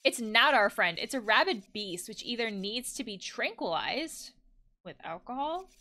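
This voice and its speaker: Reckless game party friend, read by a woman, swaggering and foul-mouthed, bright and animated delivery. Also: a little high, moderate pace. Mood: goading, ranting. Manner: goading, emphatic, theatrical reading.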